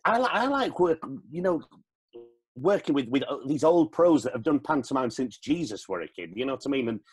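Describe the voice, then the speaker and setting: A man's voice: medium pitch, big pitch swings, brisk, husky. A man chatting casually and jokily as a podcast guest, a pantomime performer. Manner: chatty, joking. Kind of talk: casual jokey chat.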